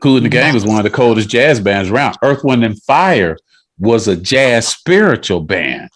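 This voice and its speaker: A man's voice: medium pitch, expressive, moderate pace. Conversational male host on a video call. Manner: conversational, knowledgeable music fan. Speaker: radio show host. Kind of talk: casual interview on a video call.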